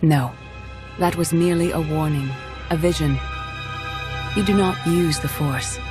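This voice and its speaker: An actress playing a calm, wise mystic mentor in a video game, speaking in a measured, earnest way. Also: female voice, low voice. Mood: earnest, serene. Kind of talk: dramatic video game acting. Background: background music.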